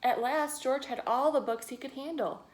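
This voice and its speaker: A woman reading a picture book aloud to young children, expressively, pausing to ask them questions and add her own asides. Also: sits high. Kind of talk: read-aloud storytelling with asides to young listeners.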